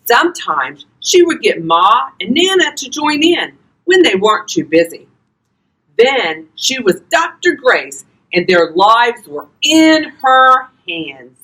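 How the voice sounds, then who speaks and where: An older woman reading a children's storybook aloud expressively, talking directly to young listeners in a husky voice. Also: a little high, very animated, slow, bright, forceful tone, rough recording. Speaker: volunteer grandparent reading to children.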